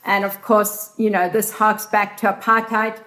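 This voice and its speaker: A woman with a South African accent, from South Africa, giving measured, informative answers as a reporter in an interview. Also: mid-range voice, little pitch movement, a bit bright, echoey room, poor audio.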